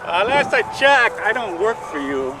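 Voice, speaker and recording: A man's voice: high voice, sing-song, normal speed, over music. A man at an outdoor flying field talking in a confrontational, indignant way, as if in an argument. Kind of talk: heated argument and complaining. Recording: very noisy outdoor recording with aircraft sound.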